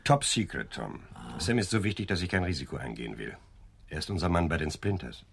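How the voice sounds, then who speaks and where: An actor playing a confident, genial police captain with a playful manner in a 1970s German radio crime drama. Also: male voice, deep voice, big pitch swings, fairly quick, gravelly, a bit bright, slightly rough, heavily acted. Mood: proud. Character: smooth, confident male police investigations captain.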